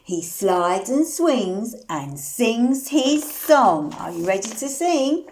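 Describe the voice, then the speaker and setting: A woman reading a picture book aloud to young children, animated and sing-song, putting questions to the listeners and singing the refrains. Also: on the high side, slow.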